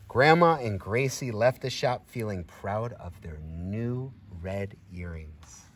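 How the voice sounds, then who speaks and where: Middle-aged man, animated storybook reading, encouraging teacher, swooping intonation, child-directed asides, outdoor background noise. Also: fairly low pitch, takes it slowly. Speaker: teacher reading a picture book aloud to children. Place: outdoors in a grassy farmyard by a barn. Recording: clear outdoor background ambience.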